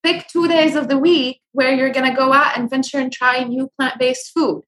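A young woman from Syria, a food blogger, giving earnest advice in a relaxed interview with frequent ums and restarts. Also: sits high, normal speed. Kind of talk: conversational interview.

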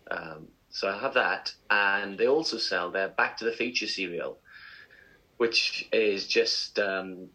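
A man's voice: sits low, slow pace, pushed and bright, low-quality recording. A young man co-hosting a casual breakfast show, telling rambling, self-mocking stories. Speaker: co-host.

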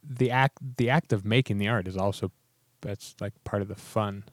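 A hoarse man co-hosting a podcast, chatting casually in a laid-back, joking way. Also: lowish voice, normal speed, no room sound, studio quality. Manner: laid-back, joking, trails off mid-sentence. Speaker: podcast co-host. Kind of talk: casual chat.

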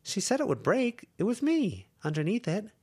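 A man voicing a quiet, flippant teenage girl who speaks flatly in an audiobook. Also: sits high, moderate pace, husky, voice pushed up, heavily acted. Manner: quiet, flat, mildly flippant.

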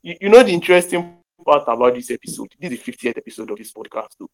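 A young Nigerian man hosts a podcast from an internet call, his voice carrying a gravelly, fried edge at an ordinary middle pitch. He chats loosely in pidgin and English, dropping Yoruba phrases and joking along. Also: animated, very fast. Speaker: podcast host. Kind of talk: casual chat in pidgin and English.

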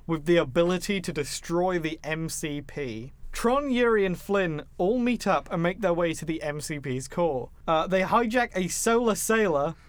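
A man's voice: high-pitched, lively intonation, moderate pace, few pauses, no echo. A man hosting a podcast, recapping a film plot in a casual, jokey and informal way. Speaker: podcast host.

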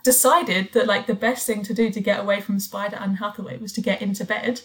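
A woman hosting a podcast, telling rambling stories in a relaxed way with dry teasing humour. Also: mid-range voice, brisk, poor audio. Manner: storytelling, dry teasing, laughs mid-sentence. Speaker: podcast host. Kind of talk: casual chat.

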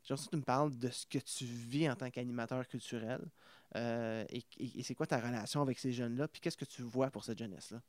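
A man's voice: mid-range voice, steady tone, fast talker, darkish tone, dry recording. A man hosting a podcast, asking long rambling questions full of stumbles and fillers. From Ontario. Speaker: podcast host. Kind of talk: casual interview.

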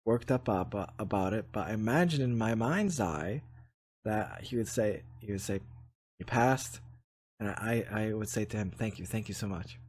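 A man's voice: lowish voice, expressive, normal speed, darkish tone, husky. A man hosting his own channel, talking through self-help ideas in a casual, earnest way with many restarts. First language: Polish is not his first language. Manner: earnest, reflective, many restarts and "you know". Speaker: channel host. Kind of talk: casual spiritual vlog talk.

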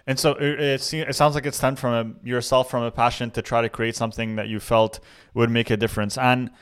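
A man hosting a podcast interview, asking questions in an upbeat, energetic way. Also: medium pitch, fairly quick, clear, resonant tone. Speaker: podcast host. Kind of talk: casual interview.

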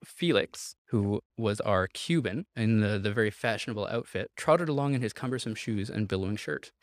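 Male podcast storyteller, lowish voice, dry close sound, wry and chuckling. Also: animated, moderate pace, no room sound. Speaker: podcast host telling a history story. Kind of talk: casual comedic storytelling.

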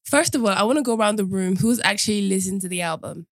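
A woman's voice: mid-range voice, lively intonation, quick pace, dry recording. A woman hosting a casual panel podcast in a studio, upbeat and steering the conversation. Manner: upbeat, moderating the discussion. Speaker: podcast host.

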